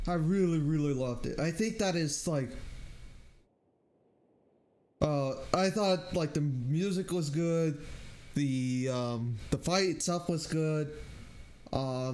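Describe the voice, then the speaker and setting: A man chatting casually on a game livestream in a halting, hesitant way, thinking aloud as he goes. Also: a little high, a little mellow. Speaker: game streamer. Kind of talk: casual livestream chat, rambling review.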